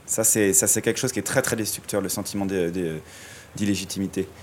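A man's voice: deep voice, fairly even intonation, a little fast, a little hiss or hum. A man guest in a radio interview, speaking reflectively with many hesitations and restarts, through a surgical mask. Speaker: musician guest. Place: outdoors in a city street. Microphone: wearing a surgical mask.

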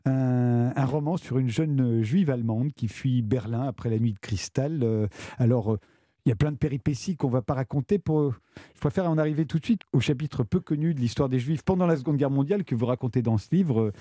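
A man's voice: mid-range voice, fairly quick, dark tone, dry recording, studio quality. A man hosting a radio interview, introducing guests and asking long questions with many hesitations. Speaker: radio host.

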